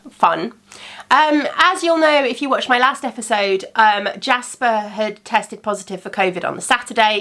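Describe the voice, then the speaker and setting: Bright, chatty woman podcast host. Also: fairly high pitch. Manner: chatty and rambling, many ums. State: congested from a cold. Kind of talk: casual chat.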